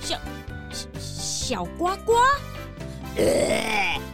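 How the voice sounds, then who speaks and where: A woman's voice: mid-range voice, sing-song, drawn-out words, vocal fry, music in the background, full character voice. A woman with a Taiwanese Mandarin accent voicing a frank, helpful young detective's assistant in a children's radio play. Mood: skeptical. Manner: questioning, straightforward.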